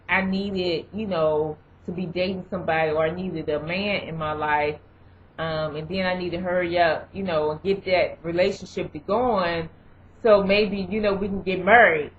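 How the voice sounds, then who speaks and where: A young woman vlogger giving casual advice, rambling and filling pauses with "you know" and "um". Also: on the low side, slight background noise. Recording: dull lo-fi recording.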